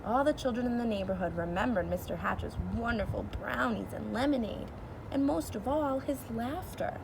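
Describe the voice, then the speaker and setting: A woman teacher reading a children's storybook aloud, expressively, and pausing to explain it simply. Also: fairly high pitch. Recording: clear background noise.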